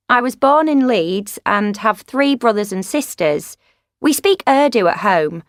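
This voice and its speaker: Brief: actress as a young woman from Leeds, earnest and reflective, higher-pitched voice, dry studio sound. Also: fairly bright tone, dry recording. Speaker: speaker in a course recording. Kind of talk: scripted monologue.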